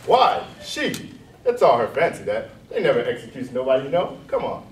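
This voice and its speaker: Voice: medium pitch, very animated, normal speed, lightly acted. A performer in readers' theatre playing a brash, mocking Gryphon creature with blunt, folksy, ungrammatical speech. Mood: amused. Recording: distant roomy hall recording, background noise.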